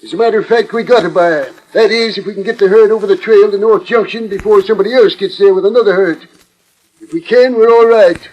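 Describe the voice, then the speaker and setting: An older, plain-spoken rancher in a vintage radio Western. His voice is high for a man, folksy and earnest, with an American Western accent. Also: fast talker, lo-fi sound. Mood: frank, concerned. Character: aging, worried, plain-spoken male ranch owner. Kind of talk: 1940s radio Western acting.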